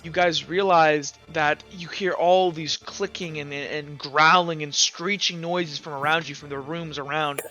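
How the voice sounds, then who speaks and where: A man working as game master, improvising recap and scene narration for a tabletop game in a halting way, circling back over his phrases. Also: a little high, fairly even intonation, sharp tone, muffled recording, faint music behind.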